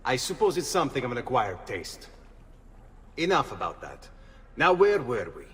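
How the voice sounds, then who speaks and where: Measured Italian guild leader, echoing hall. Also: male voice, mid-range voice, very animated, fairly bright tone, slightly rough, full character voice. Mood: courteous, wry. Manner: measured, formal, deliberate. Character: courteous, shrewd Venetian thieves' guild leader, measured and commanding. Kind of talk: video game voice acting. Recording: reverberant hall, music behind.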